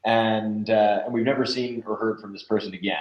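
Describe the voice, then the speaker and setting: Low-voiced man in a roomy, muffled recording. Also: steady tone, brisk. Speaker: podcast creator, interview guest. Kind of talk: casual interview chat. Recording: roomy echo, dull lo-fi sound.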